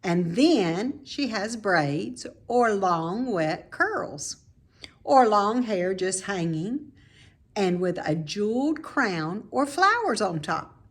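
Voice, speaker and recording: A woman reads a children's story aloud at a leisurely pace. Her mid-pitched voice moves up and down in a lively way, and she phrases each idea deliberately and expressively for young listeners. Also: breathy edge. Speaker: storybook reader, teacher.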